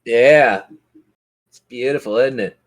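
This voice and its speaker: Male game master, mid-pitched, descriptive monster narration mixed with casual rules talk. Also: slow pace.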